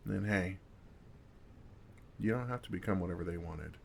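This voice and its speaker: A man playing a kind, wry older vampire who comforts a fledgling in a soft-spoken, reassuring ASMR roleplay, gently teasing with pauses. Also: deep voice, gravelly, a little hiss or hum. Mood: warm, lightly joking. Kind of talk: intimate ASMR roleplay, second-person comforting.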